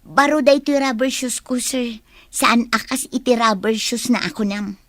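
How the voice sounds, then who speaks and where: A woman's voice: on the high side, normal speed, a bit bright, no room sound, studio quality, lightly acted. A woman playing a young office secretary with a Filipino accent, eager to please yet resentful, in a radio drama. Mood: flustered.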